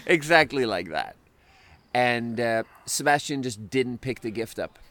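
Picture a man in his thirties from Sweden hosting a relaxed podcast chat. His voice sits at an ordinary middle pitch. He tells long, rambling stories and breaks into laughter often. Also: a little slow. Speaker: podcast host. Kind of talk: casual chat.

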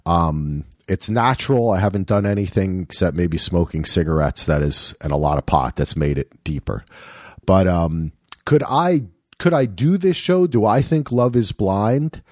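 A man in his fifties with a deep radio-type voice, chatting casually as a podcast co-host. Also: normal speed, no echo, clean studio sound. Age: 50s. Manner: chatty, rambling with restarts. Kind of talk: casual chat.

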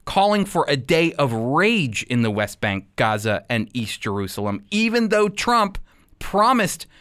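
A man teaching as a radio host in a scripted, sermon-like style, with emphatic, deliberate phrasing. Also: on the high side, sing-song, a bit bright, clear, resonant tone, no room sound, clean studio sound.

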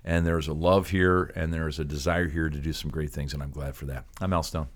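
A man's voice: a bass voice, steady tone, brisk. An American man hosting a casual ministry talk show, enthusiastic and jokey, often repeating his phrases. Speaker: podcast host.

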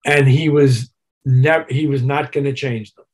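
A mature man with a husky edge and a medium-pitched voice. He talks as an interview guest who is a working musician, unspooling detailed anecdotes. His delivery is casual and reflective, and he voices both sides of remembered conversations. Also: fairly even intonation, unhurried, a little mellow. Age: middle-aged or older.

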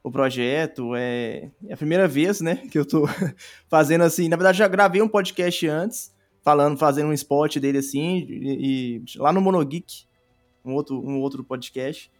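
High-voiced Brazilian man chatting informally. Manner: informal, halting explanations. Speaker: podcast guest, audio drama creator. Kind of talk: casual interview chat. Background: faint music.

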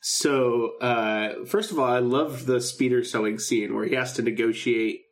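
A man chats as a podcast co-host in an informal, enthusiastic way. His voice sits at an average male pitch and the delivery is loose and conversational. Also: fairly even intonation, unhurried. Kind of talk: casual podcast chat about a radio drama.

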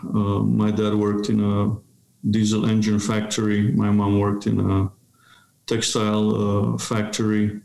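Low gravelly-voiced man from Romania. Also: monotone, a little mellow, echoey room. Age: 50s. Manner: measured, hesitant with fillers. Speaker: seminary professor guest. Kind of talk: interview answer, storytelling.

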